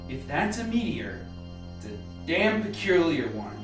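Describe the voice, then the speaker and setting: A woman's voice: lowish voice, big pitch swings, measured pace. A woman playing a methodical, skeptical male scientist in a radio play, with a terse, commanding and dry delivery. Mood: intent, puzzled. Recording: very echoey room, distant mic. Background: music.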